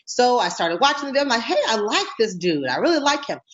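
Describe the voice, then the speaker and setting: Woman, talk show host, bubbly, excitable, laughs a lot, dull lo-fi sound. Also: fairly high pitch, fairly quick. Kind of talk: casual interview chat.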